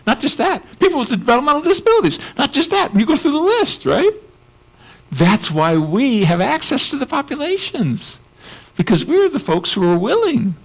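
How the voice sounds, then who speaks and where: An older man, a clinical psychologist speaking on a conference panel, animated and laughing, putting on voices for emphasis. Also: very high-pitched, normal speed, vocal fry, poor audio.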